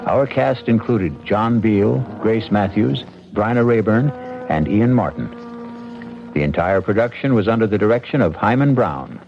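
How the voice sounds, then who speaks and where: A man hosting a radio drama, speaking in an ominous, deliberate, theatrical scripted style. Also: fairly low pitch, gravelly. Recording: muffled old radio broadcast. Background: music.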